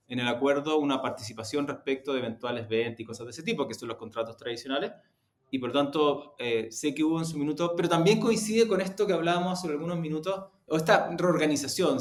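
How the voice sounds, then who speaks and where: A man with a Chilean accent answering interview questions reflectively, often rephrasing and qualifying what he says. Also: medium pitch. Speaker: interview guest, podcast production executive. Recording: roomy, echoey sound.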